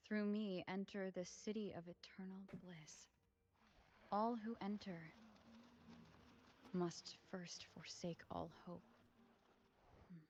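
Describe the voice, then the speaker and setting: An actress playing a wary young adventurer in a video game, speaking in a hushed, measured way with long reverb. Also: female voice, mid-range voice, muffled recording. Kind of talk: dramatic video game acting.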